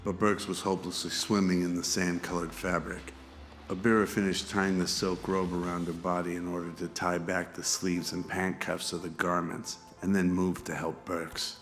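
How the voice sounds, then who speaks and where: A male narrator tells a scripted radio-drama story. His voice is low and his intonation stays flat and controlled. Music plays clearly underneath. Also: moderate pace.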